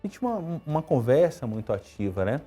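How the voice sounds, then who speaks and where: A man from Salvador in his fifties talks fast and fluently about his life, in a husky, mellow voice. He tells his story in a conversational way. Soft music plays faintly behind him. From Brazil (Salvador, Bahia). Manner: quick, storytelling, lively pitch swings. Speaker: interview guest, IT executive. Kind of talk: conversational interview answers.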